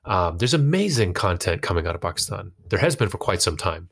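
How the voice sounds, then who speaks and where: A man's voice: deep voice, sing-song, brisk. An American man chatting casually as an interview guest, feeling his way through thoughts in informal, meandering phrasing. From United States. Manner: informal, hesitant phrasing with frequent "like" and "you know". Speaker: interview guest, actor and musician.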